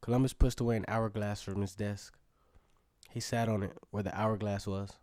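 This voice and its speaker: A man's voice: lowish voice, flat delivery, moderate pace, a little mellow, no room sound, studio quality, close to an everyday voice. A man playing a curious, adventurous young storyteller, narrating a scripted audio drama in the first person. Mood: intrigued, musing.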